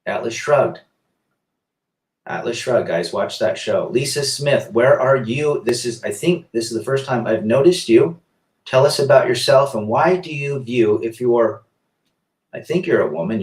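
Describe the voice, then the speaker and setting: A middle-aged man hosts a casual livestream in an echoey room and talks at an ordinary pitch. He reads viewer comments aloud one by one and answers each in turn, in the loose way of someone who chats online. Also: far from the mic. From south-central Pennsylvania, US. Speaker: livestream host reading chat.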